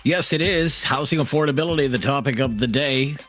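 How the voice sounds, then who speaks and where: A man hosting a call-in radio talk show, taking calls and chatting with callers in a brisk, conversational way. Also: mid-range voice, fairly bright tone, faint music behind. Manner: brisk, conversational host banter.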